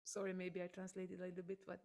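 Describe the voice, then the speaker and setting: A woman's voice: on the low side, monotone, fast. Effusive Hungarian-accented woman host. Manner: warm, effusive, laughs often. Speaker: host, voice teacher. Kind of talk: video call interview. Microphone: video call.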